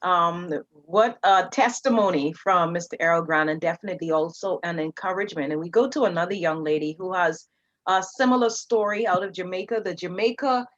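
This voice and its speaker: A woman's voice: on the low side, a little fast. Upbeat woman moderator, dull lo-fi sound. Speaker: summit moderator. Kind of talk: hosting an online summit. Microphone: video call. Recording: dull lo-fi audio.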